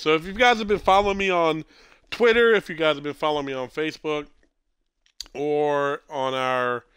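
A man in his thirties hosting a casual gameplay stream, stuffy with a cold and clearing his throat, rambling and correcting himself as he talks. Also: fairly high pitch, fairly bright tone, dry recording. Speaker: streamer host.

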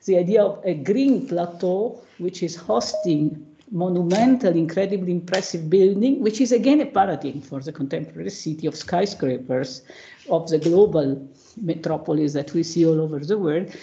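A woman with an Italian accent, a native Italian speaker, giving an academic presentation over a video call in a halting way, searching for words. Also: vocal fry, warm tone, a little hiss or hum. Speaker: academic panelist, professor. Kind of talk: lecture presentation with slides. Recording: muffled low-bandwidth video call audio.

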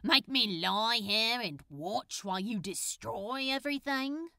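A woman's voice: fairly high pitch, lively intonation, measured pace, creaky, pushed and bright, no room sound, studio quality, heavily acted. A woman voicing a cocky, sarcastic, unimpressed male superhero held captive in a fan fiction audiobook, talking in a casual, teasing drawl. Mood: teasing, defiant.

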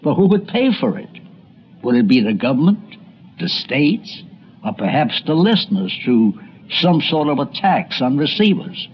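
A man's voice: a little high, big pitch swings, unhurried, a little hiss or hum. A man narrating a scripted history documentary like a storyteller, with conversational asides. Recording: dull, lo-fi old recording.

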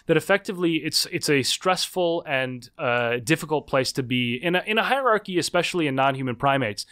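A man in his thirties, a guest author explaining ideas in a podcast interview, animated and full of quick restarts. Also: on the high side, clear, resonant tone.

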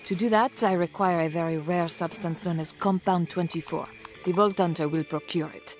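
Woman playing a cool, lower-voiced heist accomplice. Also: fairly even intonation, normal speed, soft background music, natural voice. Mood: assured. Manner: formal, self-assured, precise. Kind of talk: game cutscene acting.

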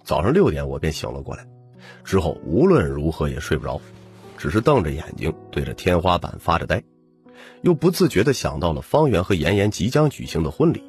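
A man's voice: sits low, big pitch swings, brisk, darkish tone, soft background music. A man narrating a novel in the first person as a scripted audiobook.

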